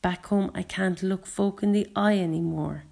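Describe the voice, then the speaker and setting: A woman's voice: medium pitch, steady tone, moderate pace, vocal fry, a little mellow. A woman voicing a remorseful, kind Scottish father, earnest and apologetic, with a wobbly voice, in an audiobook story. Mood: pained, confiding. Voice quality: wobbly, emotional voice. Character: remorseful Scottish father who caused an accident, upset and kind.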